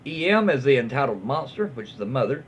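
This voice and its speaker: A man hosting and reading internet stories aloud in a folksy way, with dramatic pauses, emphasis and his own asides. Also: medium pitch, big pitch swings, slightly rough. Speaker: online story reader and host.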